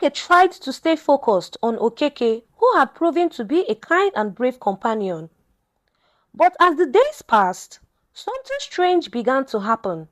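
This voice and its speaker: High-pitched, animated woman telling a folktale. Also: a little slow. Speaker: storyteller. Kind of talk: scripted folktale narration.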